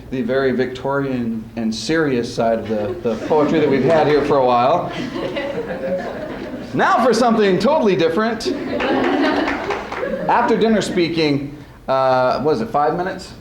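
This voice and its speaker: A man's voice: a little high, sing-song, normal speed, crowd noise. A man hosting a showcase as speech coach, rambling and jokey in his informal introductions. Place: school event before an audience. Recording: echoey hall with background noise.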